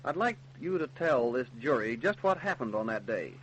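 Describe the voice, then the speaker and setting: A man's voice: medium pitch, sing-song, normal speed, slight background noise, natural voice, low-quality recording. A male actor playing a formal, authoritative figure in an old-time radio crime drama, with declamatory delivery. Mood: formal, official.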